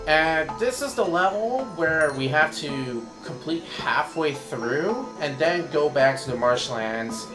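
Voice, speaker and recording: Hoarse man commentating a game. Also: on the high side, animated, slow, hardly any pauses, roomy sound. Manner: rambling, thinks aloud while playing. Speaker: let's play commentator. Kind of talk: casual gameplay commentary. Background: game music.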